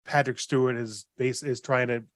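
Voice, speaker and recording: A man with a mid-pitched voice chats as a podcast panelist. He explains things informally, and the recording is dry and free of room sound. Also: fairly even intonation, moderate pace, no echo. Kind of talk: casual panel discussion.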